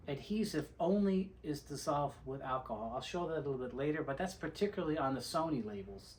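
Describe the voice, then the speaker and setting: A man presenting an informal how-to video, explaining things step by step in a methodical, plain-spoken way. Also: a little high. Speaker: presenter of a how-to video on restoring tape reels. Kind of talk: informal instructional demonstration.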